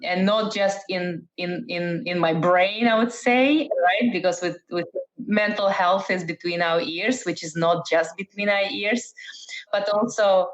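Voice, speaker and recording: A middle-aged woman, raised in the Netherlands, speaks in a fairly low voice. She answers interview questions thoughtfully and restarts her sentences often. The recording sounds dull and lo-fi. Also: a little slow. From Russia, raised in the Netherlands. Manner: thoughtful, many fillers and restarts. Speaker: interview guest, entrepreneur.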